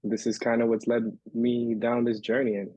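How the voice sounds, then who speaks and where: A man's voice: mid-range voice, little pitch movement, normal speed, dark tone, slightly rough, no echo. A man from the Dominican Republic, in his thirties, talking as a podcast guest in a loose, rambling way with many fillers and restarts. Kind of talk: casual interview storytelling.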